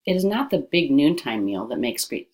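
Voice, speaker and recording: Low-pitched woman reading aloud. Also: big pitch swings, normal speed, a little mellow. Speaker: reader of an educational text. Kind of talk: scripted read-aloud narration.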